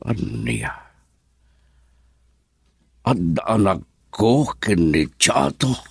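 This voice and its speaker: A middle-aged businessman father in a radio drama, smooth and philandering. His voice is very low and slow, with swooping intonation, recorded close and dry. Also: animated, dry recording, full character voice. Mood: shaken, serious. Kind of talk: Ilocano radio drama acting.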